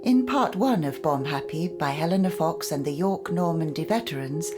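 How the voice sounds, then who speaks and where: A woman's voice: deep voice, animated, moderate pace, music in the background. A woman announcer reading scripted credits for an audio play. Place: close voice recording with music behind.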